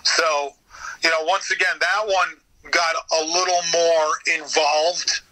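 A man's voice: a little high, steady tone, slow pace, bright, forceful tone, poor audio. An American man telling anecdotes in a casual, rambling, profane interview chat as a voice actor. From United States. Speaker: podcast guest, radio theater voice actor.

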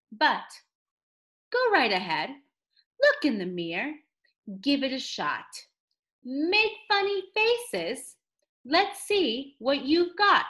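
Female picture-book storyteller: lively swooping intonation, very unhurried pace, dramatic delivery aimed at young listeners. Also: high-pitched. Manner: theatrical, dramatic emphasis, addressing a child listener. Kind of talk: children's read-aloud storytelling.

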